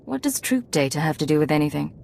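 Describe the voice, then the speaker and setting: A woman's voice: sits low, big pitch swings, quick pace, creaky, a little mellow, slight background noise, exaggerated voice. A woman playing a guarded, no-nonsense secret agent in a video game, with clipped, cool delivery. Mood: guarded, demanding. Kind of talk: scripted video game acting.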